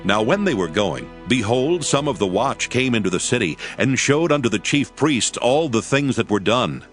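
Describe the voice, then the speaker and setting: A man narrating a dramatized Bible reading in a solemn, measured storytelling manner. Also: mid-range voice, very animated, soft background music.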